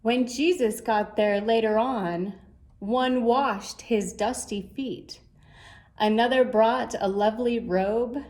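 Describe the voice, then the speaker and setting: A woman reading a rhyming children's story aloud in a measured, expressive way. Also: fairly high pitch. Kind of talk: scripted storybook narration in rhyme.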